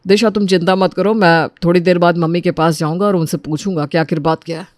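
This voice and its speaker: A woman's voice: mid-range voice, moderate pace, no room sound, clean studio sound, natural voice. A woman acting a caring young man of the family in an audio drama, earnest and soothing.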